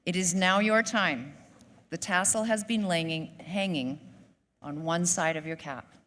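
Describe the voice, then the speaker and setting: A woman hosting a graduation ceremony, making announcements in a relaxed, joking way on a stage mic. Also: fairly low pitch, a little slow. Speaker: ceremony host. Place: large theater hall. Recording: reverberant hall with faint noise.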